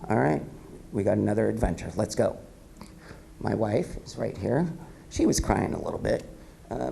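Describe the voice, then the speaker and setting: A raspy, gravelly-voiced man in his fifties gives a relaxed keynote, loose and upbeat, with plenty of ums. His low voice has a dark, mellow tone. He speaks into a podium mic in an event hall. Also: a little hiss or hum. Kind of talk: casual speech at an awards event. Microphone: podium microphone.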